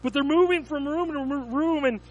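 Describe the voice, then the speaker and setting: A man's voice: very high voice, moderate pace, dull audio. A man preaching a sermon, telling everyday stories as illustrations, with frequent stumbling restarts.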